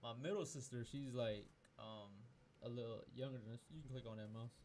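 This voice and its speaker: A man's voice: mid-range voice, fairly quick, a little mellow. Podcast host, man in his 20s from Peru, profane and loose, lots of restarts, faint hiss. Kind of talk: casual chat.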